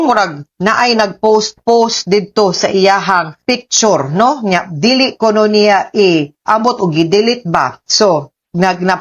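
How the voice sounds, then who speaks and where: A woman's voice: on the high side, fairly bright tone. A woman with a Cebuano accent hosting a radio advice show, chatty and informal, dropping in English asides and tag questions. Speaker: radio host and lawyer giving legal advice.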